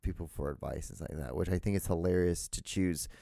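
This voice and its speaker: A man with a very low voice hosts his own show alone, rambling and restarting his sentences. He has a loud voice, recorded dry and close on a handheld recorder. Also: quick pace, creaky, no room sound. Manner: rambling, lots of fillers and restarts. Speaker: podcast host. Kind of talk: solo rambling podcast chat. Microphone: handheld digital recorder.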